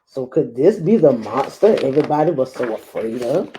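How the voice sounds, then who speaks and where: A woman reading a rhyming children's picture book aloud, acting it out with theatrical emphasis and dramatic pauses. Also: a bass voice, sing-song, warm tone, a little hiss or hum, low-quality recording. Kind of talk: expressive rhyming storybook read-aloud.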